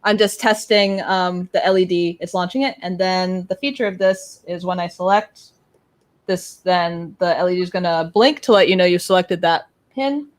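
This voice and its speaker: A woman giving a casual live demo, thinking aloud in a halting way. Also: lowish voice. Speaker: guest demonstrating a music electronics project.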